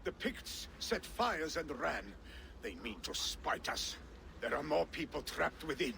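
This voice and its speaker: An actor playing a weary, sorrowful Viking man with a gravelly voice in a video game. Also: a little high, big pitch swings, a little slow, pushed and bright, a little hiss or hum, heavily acted. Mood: grim.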